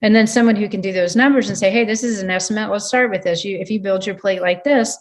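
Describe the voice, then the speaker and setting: A woman's voice: mid-range voice, fast talker. A woman in her fifties, a sports nutritionist, giving earnest advice in a casual podcast chat, often restarting her sentences. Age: 50s. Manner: earnest, advising, many restarts.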